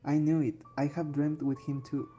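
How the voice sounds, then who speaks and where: A troubled, withdrawn boy, acted by a woman, speaks in a radio drama. The voice is low and very dark, with a dull, muffled recording and music underneath. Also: flat delivery, normal speed, lightly acted. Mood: anxious, curious. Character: frightened, withdrawn schoolboy plagued by nightmares. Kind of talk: amateur radio drama acting.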